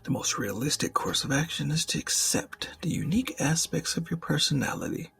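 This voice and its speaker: A man playing an ancient, suave and jaded male demon in an ASMR roleplay, speaking in formal, theatrical, half-whispered phrases with a breathy, gravelly voice. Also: a little high, animated, brisk, a bit bright, slight background noise. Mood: philosophical, lecturing.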